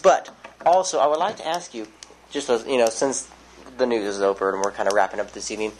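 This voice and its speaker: A young man playing a news anchor in an amateur mock newscast, with a mock-serious, deadpan delivery. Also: fairly low pitch, fast talker, slight background noise, rough recording.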